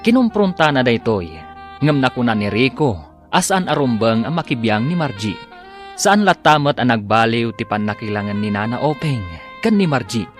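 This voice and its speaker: A man with an Ilocano accent narrating a radio drama as its announcer, in a dramatic scripted style. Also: medium pitch. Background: music.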